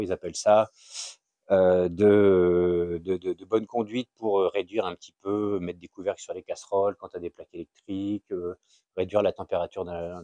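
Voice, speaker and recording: A man giving an informal, unscripted explainer as a video host, halting and often searching for words. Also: sits low, mellow, no room sound. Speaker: YouTube channel host sharing a tip.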